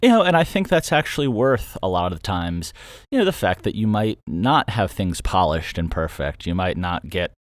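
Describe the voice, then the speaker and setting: A man's voice: low-pitched, big pitch swings, normal speed, no room sound. A man hosting a casual, unscripted solo update about his creative work, thinking aloud in a loose, rambling way. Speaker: audio drama creator and host.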